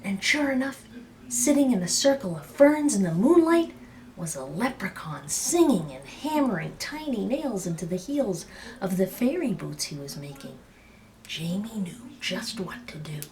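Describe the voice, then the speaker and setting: A woman storyteller reading a picture book aloud with expressive, dramatic and emphatic phrasing. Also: mid-range voice, measured pace, low-quality recording.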